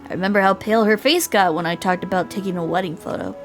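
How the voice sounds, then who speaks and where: A woman voicing a reflective older man who narrates a visual novel in a measured, wistful way. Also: mid-range voice, soft background music, close to an everyday voice. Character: reflective older male lawyer, a wedded war veteran.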